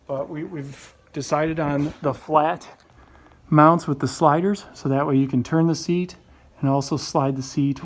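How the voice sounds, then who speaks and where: A man in his thirties giving a relaxed, rambling walkaround of a boat project in a large workshop garage, filmed on a handheld phone, with many fillers and restarts. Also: medium pitch, a little hiss or hum. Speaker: channel host. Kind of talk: casual walkaround vlog. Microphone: handheld phone camera close up.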